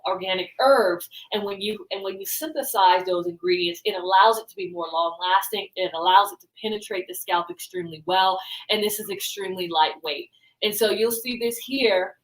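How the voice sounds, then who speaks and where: A woman's voice: mid-range voice, moderate pace, fairly bright tone, rough recording. A woman from the Bahamas presenting and demonstrating her hair-care brand's products, explaining step by step and often checking in with "right?".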